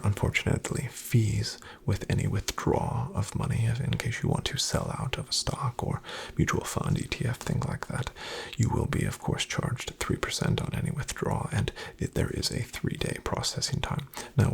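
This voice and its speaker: A man in a soft, breathy, mostly whispered ASMR roleplay as a financial advisor, speaking with reassuring, salesman-like politeness and hesitant pauses. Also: lowish voice. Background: computer keyboard typing.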